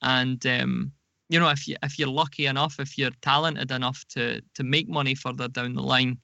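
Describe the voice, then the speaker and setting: Gravelly man, dry and slightly dull sound. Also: medium pitch, fairly even intonation, normal speed, pushed and bright, no echo. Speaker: podcast guest, audio drama producer. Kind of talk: casual interview answers.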